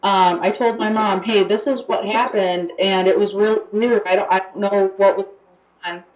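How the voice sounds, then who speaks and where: A woman's voice: mid-range voice, fairly even intonation, moderate pace, a little mellow. Casting: young American woman, chatty radio guest, roomy, slightly dull recording. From United States. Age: young adult. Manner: chatty, with fillers and restarts. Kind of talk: casual radio talk.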